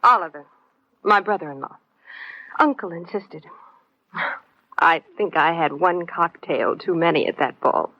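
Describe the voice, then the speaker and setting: A woman's voice: on the low side, a little slow, long pauses, slight background noise. A woman playing a poised, flirtatious heiress in a vintage radio detective drama. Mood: cool, poised. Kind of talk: 1940s-50s radio drama acting. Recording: muffled old radio recording.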